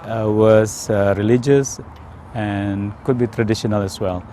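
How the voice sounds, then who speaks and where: A man from Indonesia with an Indonesian accent, telling his personal story in a hesitant, careful way with frequent pauses. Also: fairly low pitch, fairly even intonation, warm tone, a little hiss or hum. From Indonesia, South Sumatra. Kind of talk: unscripted personal testimony.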